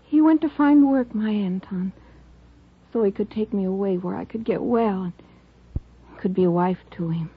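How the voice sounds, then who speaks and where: Frail, dreamy young wife. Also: female voice, mid-range voice, moderate pace, slightly rough. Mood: wistful. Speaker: patient character. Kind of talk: 1950s radio drama acting. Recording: tinny old radio recording with music.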